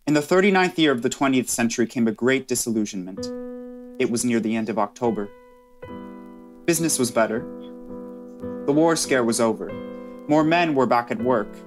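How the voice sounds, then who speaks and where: A man's voice: medium pitch, moderate pace, long pauses, lightly acted. A man playing a grave, solemn storyteller, giving scripted narration in a 1930s radio drama. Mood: matter-of-fact, grave. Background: piano music.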